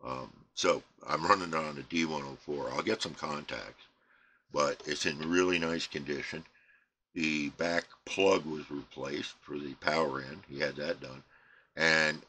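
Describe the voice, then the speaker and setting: A middle-aged American man giving a casual, unscripted show-and-tell, rambling and halting as he trails off. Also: extremely low pitch, pushed and bright. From United States. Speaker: CB radio hobbyist presenting his equipment. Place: indoor radio bench crowded with equipment. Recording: clear background noise, room ambience.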